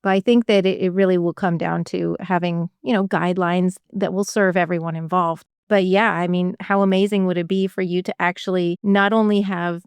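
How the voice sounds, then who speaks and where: A woman's voice: lowish voice, steady tone, brisk, few pauses, clear, resonant tone, no echo. A woman from Canada hosting a podcast interview, talking in an enthusiastic, chatty way and laughing easily. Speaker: podcast host. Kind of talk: casual interview chat.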